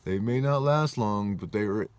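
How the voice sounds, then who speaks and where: Deep-voiced man in his forties musing. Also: moderate pace, darkish tone, no echo. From Southern California. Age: 40s. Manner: rambling, self-correcting, reflective. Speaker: vlogger. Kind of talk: casual personal storytelling.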